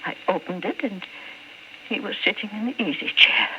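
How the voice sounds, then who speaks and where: A woman plays a kindly, fretful rooming-house landlady in a vintage radio drama. Her pitch moves in lively swings, and her delivery is halting and deferential. The old recording is muffled, with faint noise underneath. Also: natural voice. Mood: shaken, sorrowful.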